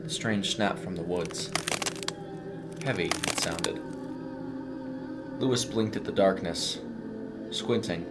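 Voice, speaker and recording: A man reading a scripted short story as a dramatic narrator. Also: deep voice.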